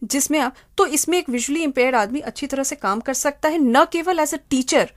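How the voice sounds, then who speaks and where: Female interview guest, higher-pitched, explanatory delivery with examples, dry recording. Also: a little fast, no room sound. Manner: explanatory, spells out terms with examples. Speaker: human resource consultant, interview guest.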